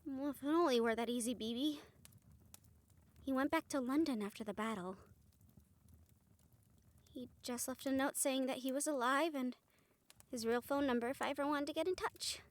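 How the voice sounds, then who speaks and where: A woman playing a devoted, excitable young vampire bride-to-be in a fantasy romance audio roleplay, expressive and affectionate. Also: high voice, moderate pace, pauses often, lightly acted. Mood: hesitant, resolute. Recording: faint music behind the voice.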